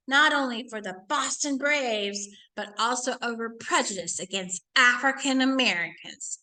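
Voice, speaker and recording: A woman with a high, bright voice reads aloud as an educator would for children. Her delivery is expressive and emphatic, drawing out important phrases. She mixes the reading with casual, instructive asides. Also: poor audio.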